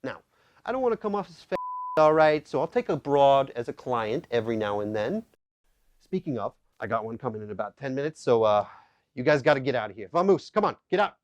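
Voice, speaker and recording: A man's voice: medium pitch, expressive, brisk, lo-fi sound, lightly acted. A man playing a cocky, sleazy quack therapist in a comedy sketch, brash, crude and full of bragging. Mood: cocky, forceful.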